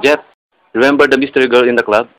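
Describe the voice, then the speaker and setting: A man's voice: mid-range voice, fairly even intonation, quick pace, fairly bright tone, lightly acted, low-quality recording. A man playing an earnest, persistent young suitor in a radio drama, coaxing and reassuring, with a Filipino accent. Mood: smitten.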